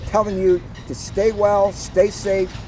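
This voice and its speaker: A man who works as a police officer reads to children and chats informally, with expressive, deliberate storytelling and drawn-out phrase endings. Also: sits high. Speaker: police officer reading to children in a community video series. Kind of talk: picture-book read-aloud with informal chat. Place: outdoors at a garden center. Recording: dull, noisy outdoor audio.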